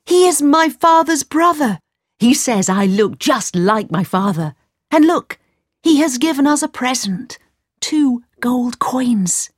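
A woman puts on an eager, trusting teenage boy from a storybook. He speaks politely, with lifted, very animated intonation, in a dry recording. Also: high voice, slow pace, dry recording, voice pushed up, full character voice. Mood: excited.

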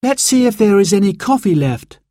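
Man putting on a high, bright young girl. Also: sing-song, moderate pace, no room sound, studio quality. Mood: upbeat. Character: young girl Sarah, cheerful, caring, excitable. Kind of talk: children's story dialogue.

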